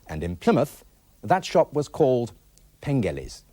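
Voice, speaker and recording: A British man delivers scripted documentary narration. His pitch moves widely and expressively, and the sound is dry and close, with little room around it. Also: wildly swooping pitch, a little slow, mellow, no echo. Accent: British English. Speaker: television presenter.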